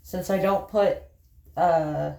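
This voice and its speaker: A woman's voice: lowish voice, fairly even intonation, slow, creaky, mellow, roomy sound. A woman with an Appalachian accent chatting casually as she does her makeup, rambling through a story with fillers and laughs. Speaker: vlogger. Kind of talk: casual chat while doing makeup.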